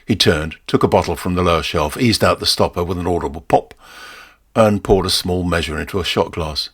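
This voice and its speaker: Male narrator, very low and husky, dramatic first-person short fiction, wry and theatrical. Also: brisk. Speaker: story narrator and performer.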